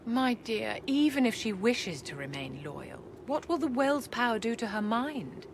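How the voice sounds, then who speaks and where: An actress playing an imperious, elegant aristocratic enchantress in a video game, speaking in a poised, condescending, formal way. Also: female voice, a little high, big pitch swings, vocal fry, background noise, exaggerated voice. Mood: poised, calculating. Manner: poised, haughty, condescending endearments, measured formal speech. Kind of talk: video game cutscene acting.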